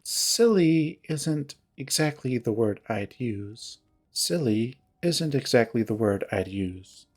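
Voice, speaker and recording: A man's voice: medium pitch, lively intonation, normal speed, dark tone, soft background music, lightly acted. A man voicing a stern, wounded young female animal character in a story, speaking in a curt, formal, accusing manner. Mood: cold, dark.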